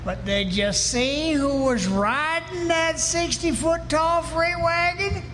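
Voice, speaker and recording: A man plays an earnest, rattled frontier rancher in an old-time western radio drama. His very high voice takes its time with folksy, emphatic phrases, ringing in a hall with some background noise. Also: slow pace, bright, forceful tone, full character voice. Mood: frustrated, wistful. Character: earnest, rattled male frontier rancher, stubborn and plain-spoken. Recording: noisy echoey hall, live stage performance.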